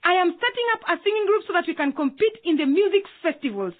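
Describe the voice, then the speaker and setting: An actress playing an eager, excited young schoolgirl calling out to classmates in a radio drama. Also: very high voice, fast talker, narrow, tinny audio, over a noisy crowd. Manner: eager, exclamatory.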